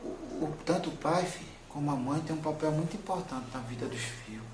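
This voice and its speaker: A man's voice: a little high, brisk, darkish tone, echoey room, slight background noise, natural voice. A man speaking as a wise, tender old grandmother spirit in a spiritual counselling session, soothing and calling the listener son again and again. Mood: gentle. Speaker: spirit medium.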